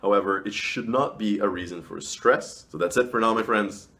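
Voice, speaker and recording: A man's voice: fairly low pitch, moderate pace, bright, forceful tone. A man talking casually as a vlogger giving advice, emphatically stressing and stretching key words. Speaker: language vlogger. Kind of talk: casual advice talk to camera.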